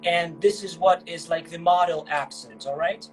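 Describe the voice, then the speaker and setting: A man's voice: on the high side, moderate pace, faint music behind, rough recording. A man hosting a casual livestream chat, enthusiastic and chatty, often checking in with the listener. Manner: enthusiastic, chatty, checks with "okay?" and "you know?". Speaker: host, English teacher.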